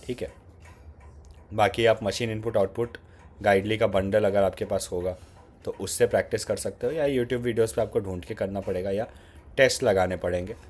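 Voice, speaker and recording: A lower-pitched man with a slightly sore throat talks through exam strategy in a relaxed, conversational way. He keeps switching between Hindi and English phrases in an Indian English accent. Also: moderate pace. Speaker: exam prep YouTuber. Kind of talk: casual exam strategy talk.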